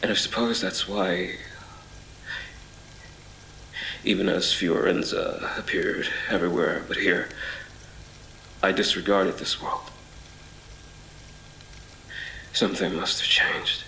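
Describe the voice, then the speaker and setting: A haunted, obsessive male wanderer voiced by a man delivers a brooding horror audio drama monologue in a very low, gravelly voice. His measured, halting words ring with echo over music. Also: fairly even intonation, bright, forceful tone, slight background noise, full character voice. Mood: wistful, brooding. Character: haunted, obsessive male traveler between twisted worlds, recounting his ordeal. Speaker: voice actor.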